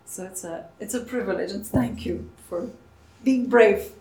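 A woman minister leading a church service, speaking softly and unhurriedly, with frequent hesitations, sometimes dropping to a near whisper. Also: medium pitch, slightly rough, rough recording. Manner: soft, unhurried, hesitant with fillers. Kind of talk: leading worship and interviewing a church member.

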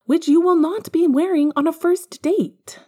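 A woman's voice: extremely high pitch, steady tone, measured pace, warm tone, pitched above the performer's own voice. A woman voicing a bossy, affectionate, teasing young woman friend in an audiobook. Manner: teasing, insistent. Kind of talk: audiobook fan fiction character dialogue.

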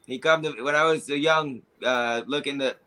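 A man, a former jockey telling animated anecdotes in a casual chat. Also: mid-range voice, moderate pace.

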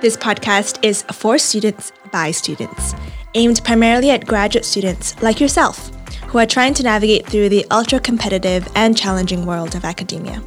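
A woman's voice: mid-range voice, normal speed, faint music behind. A woman co-hosting a podcast in a friendly, enthusiastic way, with an occasional "uh" or "um". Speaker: podcast co-host. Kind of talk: casual podcast chat.

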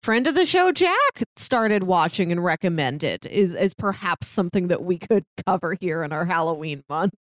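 A woman's voice: fairly low pitch, expressive, moderate pace, few pauses, no echo, clean studio sound. A woman co-hosting a podcast, chatting casually. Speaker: podcast co-host.

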